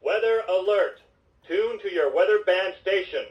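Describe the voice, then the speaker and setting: A man's voice: sits high, moderate pace, far from the mic. A synthetic-sounding man reading a formal weather bulletin, heard through a radio speaker. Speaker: weather radio broadcast announcer. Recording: muffled, noisy, echoey radio playback from afar.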